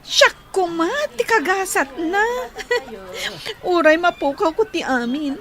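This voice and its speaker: A woman's voice: very high-pitched, animated, takes it slowly, crowd noise, heavily acted. An actress playing a lovestruck, dreamy, giggly girl in an Ilocano radio drama, with an Ilocano accent. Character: lovestruck, giggly girl pining after a young man. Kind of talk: Filipino radio drama acting.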